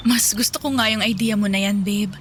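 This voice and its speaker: A woman's voice: mid-range voice, fairly even intonation, fairly quick, a bit bright, slight background noise, lightly acted. A woman acting as an older girlfriend of a younger man in a Filipino radio drama, talking casually. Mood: apologetic, sweet. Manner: casual, conversational, girlfriend talk. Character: older liberated-yet-modest woman dating a younger man. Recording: music behind the voice.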